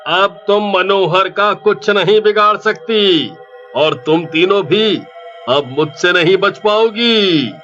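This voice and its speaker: A man voicing a stern village priest who commands forcefully in an animated horror folk tale. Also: high-pitched, slow pace, dull audio, soft background music.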